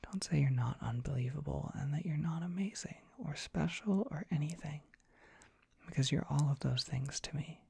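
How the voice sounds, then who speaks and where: A performer playing a dorky, gentle young dragon boy in an ASMR roleplay, speaking in a soft breathy whisper, tender and bashful. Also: mid-range voice, normal speed, no echo. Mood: tender, reassuring. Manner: tender, bashful, hesitant, softly reassuring. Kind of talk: ASMR roleplay, intimate comforting monologue.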